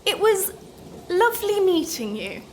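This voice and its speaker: Awkward, high-voiced, slow-speaking woman passenger. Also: big pitch swings, a little hiss or hum, full character voice. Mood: awkward excuses. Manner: halting, evasive, politely strained. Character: awkward, guarded woman writer avoiding a chatty passenger. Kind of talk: naturalistic radio play acting.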